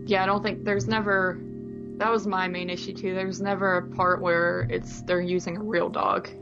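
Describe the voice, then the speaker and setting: A woman's voice: fairly low pitch, little pitch movement, normal speed. A woman chatting informally and conversationally as co-host of a movie podcast. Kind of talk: casual chat. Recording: dull lo-fi sound, music behind.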